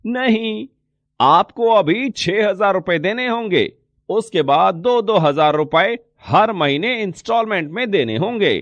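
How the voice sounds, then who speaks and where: A man's voice: high-pitched, expressive, a little slow, a bit bright, no echo, dull audio, full character voice. A man voicing a polite, eager shop salesman in a moral story, explaining things courteously. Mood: polite, persuasive. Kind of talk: Hindi moral story dialogue.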